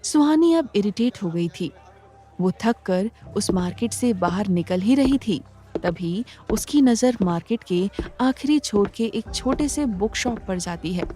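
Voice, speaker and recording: A woman's voice: mid-range voice, animated, unhurried, warm tone, faint music behind. A woman narrator reading a scripted story in a dramatic storytelling style.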